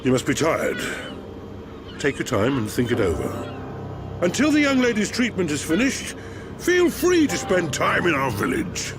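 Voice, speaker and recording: A man acting a kindly, ancient machine-race village chief in a video game, with a measured, theatrical storytelling manner. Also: on the high side, wildly swooping pitch. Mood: calm, welcoming. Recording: game audio with music bed.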